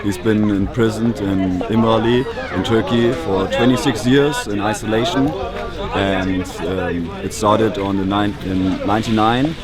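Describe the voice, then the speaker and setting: A man's voice: fairly low pitch, steady tone, unhurried, a loud crowd. A young German man with a German accent, earnestly explaining why he joined a protest march. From northern Germany. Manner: earnest, explanatory, loose with fillers. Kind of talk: street interview. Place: outdoors on a city street. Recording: loud street noise behind.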